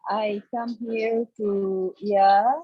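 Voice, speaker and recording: A woman's voice: medium pitch, flat delivery, drawn-out words, breathy edge, dry recording, highs cut off. A woman from Indonesia, introducing herself as a student on a video call, hesitant and searching for words.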